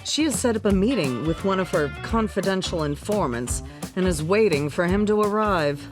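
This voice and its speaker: A woman's voice: lowish voice, animated, normal speed, natural voice. A woman narrating scripted scene changes for a radio drama. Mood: measured. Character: female narrator setting the scenes. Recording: music under the voice. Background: guitar music.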